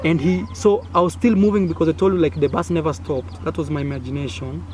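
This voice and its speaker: A young man with a Kenyan accent, Swahili his first language, telling a childhood story to camera in a casual, animated way, acting out sounds and gestures. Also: fairly high pitch, fast. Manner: animated, acts out sounds and gestures with frequent fillers. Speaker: content creator. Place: outdoors in a village. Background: faint village noise.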